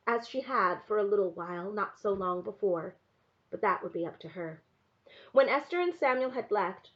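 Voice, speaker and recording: A woman reading a first-person story aloud in an expressive narrating style. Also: medium pitch, dull audio. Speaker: reader reading a novel aloud to a class.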